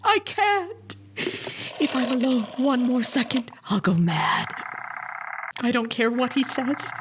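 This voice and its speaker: An actress playing a wealthy, demanding invalid wife, fretful and hysterical, in a melodramatic suspense radio play. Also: female voice, sits high, sing-song, slow pace, noisy background, exaggerated voice. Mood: panicked, despairing.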